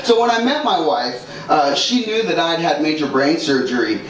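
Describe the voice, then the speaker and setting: A man's voice: a little high, lively intonation, moderate pace. A man doing a stand-up comedy set on a club stage, punchy and exaggerated, slipping into character voices. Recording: echoey club audio from far off, loud room noise.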